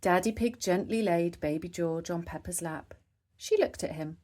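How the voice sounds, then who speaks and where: A woman reading a children's story aloud, with expressive narration phrased for young listeners. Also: lowish voice, normal speed. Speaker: storybook reader.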